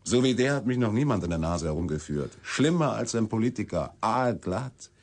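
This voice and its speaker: A man's voice: lowish voice, big pitch swings, normal speed, darkish tone. A man playing a cynical, flippant newspaper reporter in a radio drama, with a sardonic way of talking. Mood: sardonic, musing. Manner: sardonic, wisecracking.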